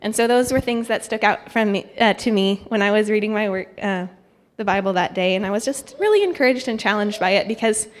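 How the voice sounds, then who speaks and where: A woman's voice: medium pitch, expressive, a little fast, few pauses. A young woman who grew up in Thailand gives an informal church testimony, speaking conversationally with a self-deprecating touch. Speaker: guest speaker, missionary candidate. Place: church hall, speaking to congregation. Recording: echoey hall with long reverb.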